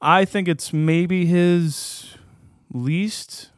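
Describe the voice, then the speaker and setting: A man's voice: high voice, very slow, no echo, studio quality. A man talking casually and jokingly about films as a podcast guest. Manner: informal, joking.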